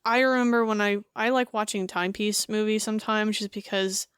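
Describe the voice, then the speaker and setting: A woman's voice: medium pitch, fairly even intonation, brisk, fairly bright tone, clear, resonant tone, dry recording, clean studio sound. A woman co-hosting a podcast, chatting casually and rambling with lots of fillers. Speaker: podcast co-host. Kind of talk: casual chat.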